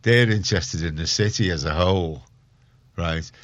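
A man's voice: low-pitched, normal speed, dry recording. A man talking casually in an interview about his work, opinionated and emphatic, often repeating himself to make a point. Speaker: community radio organiser, interview guest. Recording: muffled, dull, dry close recording.

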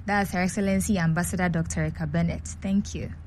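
A woman's voice: fairly low pitch, fairly even intonation, fast talker, background noise. Composed, articulate woman radio guest. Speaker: guest, media and public relations head.

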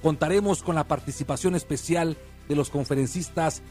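A man's voice: fairly high pitch, moderate pace, a little mellow, faint music behind. A man reading a scripted church program promo in an upbeat, inviting manner. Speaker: radio announcer.

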